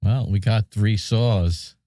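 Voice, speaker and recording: A man's voice: lowish voice, slow, dark tone, no room sound, studio quality. A man co-hosting a podcast, bantering casually and adding short replies. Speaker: podcast co-host.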